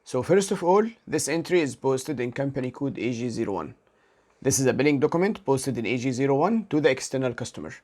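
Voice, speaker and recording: A man with a mid-pitched voice narrates a software tutorial as an instructor. He works through each step methodically, explaining what it means and reading codes out one character at a time. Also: animated, fast. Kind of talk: step-by-step screen demo narration.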